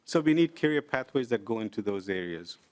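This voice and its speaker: A middle-aged man testifying before a legislative committee. He speaks in an earnest, deliberate way and pauses often with "uh". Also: fairly low pitch, lively intonation. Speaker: invited presenter at a legislative committee. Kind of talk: formal testimony, explaining with examples. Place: legislative committee hearing room.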